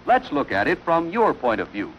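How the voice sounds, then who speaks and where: A man delivering formal, measured instructional narration in the style of a wartime training film, on an old film soundtrack. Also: fairly high pitch, huge pitch swings, lo-fi sound, a little hiss or hum.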